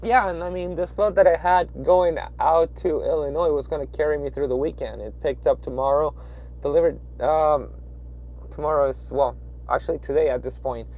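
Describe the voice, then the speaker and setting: A man's voice: fairly high pitch, fairly even intonation, moderate pace, a little mellow, rough recording. Peruvian-accented man chatting from his truck. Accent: Peruvian Spanish. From Peru. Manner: rambling, hesitant, with frequent fillers and restarts. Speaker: truck driver livestreaming. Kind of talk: casual livestream chat reading viewer comments. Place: inside a parked truck cab at night.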